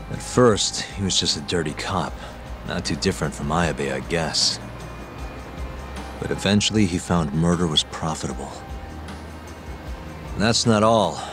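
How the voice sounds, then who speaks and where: An actor voicing a weary, sardonic detective in a video game, with a dry, understated delivery. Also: male voice, extremely low pitch, moderate pace, pauses often. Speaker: detective, main character. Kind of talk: video game dub acting. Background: music.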